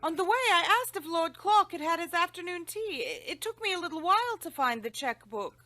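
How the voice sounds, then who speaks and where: A woman's voice: very high voice, sing-song, brisk, bright, forceful tone, heavily acted. A woman playing an emotional, genteel lady in an old-time radio drama, speaking in dramatic exclamations. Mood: worried. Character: genteel, emotional British lady, grieving widow. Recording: very noisy, clicky recording.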